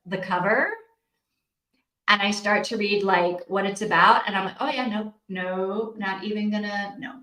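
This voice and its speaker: A woman's voice: medium pitch, fairly bright tone. Woman chatting in an echoey room. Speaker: host of a live reading-sprint stream. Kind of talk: casual chat, reading out and answering viewer comments. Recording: roomy, echoing sound.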